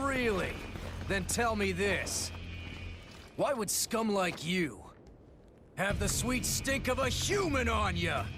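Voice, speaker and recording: An actor playing a belligerent, bitter young thug in a dramatic video game cutscene, sneering and confrontational. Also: male voice, high voice, full character voice. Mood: sneering menace.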